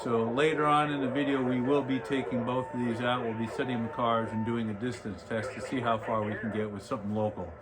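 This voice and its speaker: A man's voice: mid-range voice, level tone, a little fast, hardly any pauses. A man giving a casual hands-on product review as a video host, explaining features plainly and step by step as he handles the gear. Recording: clearly noisy home-made recording.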